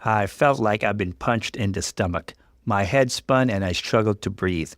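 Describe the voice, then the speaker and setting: A man's voice: on the low side, moderate pace, no echo, studio quality. A man narrating a scripted first-person story in a dramatic reading style.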